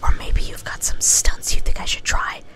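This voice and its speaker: A woman's voice: moderate pace, close to an everyday voice. Woman as whispering brash young pony girl. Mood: casual, game. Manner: casual, slangy. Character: brash, sporty young female flying cartoon pony. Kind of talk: whispered ASMR-style story reading.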